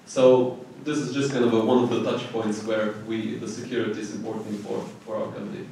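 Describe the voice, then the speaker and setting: A man with a somewhat low voice talks haltingly on a panel, breaking off and restarting his phrases. He is far from the mic in a very echoey hall with faint noise in the background. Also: level tone, mellow. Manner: halting, self-correcting. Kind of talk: panel remarks. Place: conference hall.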